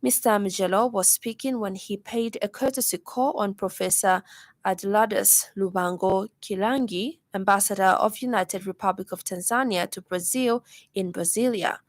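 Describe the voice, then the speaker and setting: A woman's voice: medium pitch, normal speed, no room sound. A woman with a Zambian accent reading a scripted radio news bulletin in a formal manner. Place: dry radio studio.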